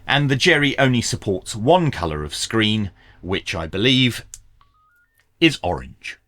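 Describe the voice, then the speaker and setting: A man talks through a product unboxing in a fairly low voice. His intonation moves around a lot, rising and dropping as he goes. He is informal and plain-spoken, offering his opinions as a reviewer would. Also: big pitch swings, a little slow, a bit bright. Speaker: reviewer and presenter of radio gear.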